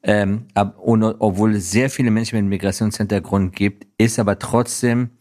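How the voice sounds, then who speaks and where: A man from Afghanistan speaking as an interview guest, reflecting earnestly in conversational answers with halting pauses and self-corrections. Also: lowish voice, steady tone, a little mellow. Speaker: interview guest, business professional.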